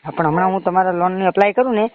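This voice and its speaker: A man's voice: high voice, racing. A man with a Gujarati accent speaking as a loan agent on a recorded phone call, insistent, pushy and repetitive. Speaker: phone caller claiming to be a bank loan agent. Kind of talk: phone sales conversation. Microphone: phone line. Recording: muffled narrow-band phone call recording.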